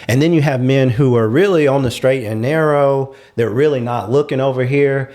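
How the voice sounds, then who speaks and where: A man's voice: mid-range voice, normal speed, a little mellow. Man talking casually on a panel.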